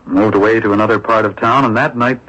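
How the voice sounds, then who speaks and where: An actor playing a composed male swindler under questioning in a radio drama, speaking in a measured, formal way. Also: lowish voice, fairly even intonation, a bit bright. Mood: confessional, rueful. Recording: dull, lo-fi old radio recording.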